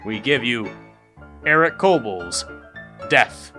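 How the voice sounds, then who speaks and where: A man's voice: mid-range voice, animated, slow, bright, forceful tone. A man reading scripted comic credits as an announcer, in a showy, tongue-in-cheek manner. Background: music.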